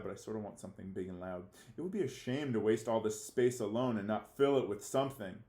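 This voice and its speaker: A man with a mid-range voice teaches and reads aloud to children in an echoey room. His delivery is halting and theatrical, with a mock-serious edge, like a grade school teacher leading a story lesson. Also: medium pitch, darkish tone. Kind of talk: read-aloud lesson for children, reading a novel with commentary.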